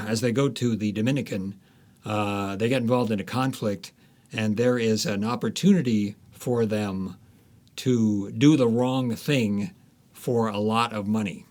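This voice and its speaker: A man being interviewed as an author, speaking conversationally and haltingly, repeating words as he thinks aloud. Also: fairly low pitch, steady tone.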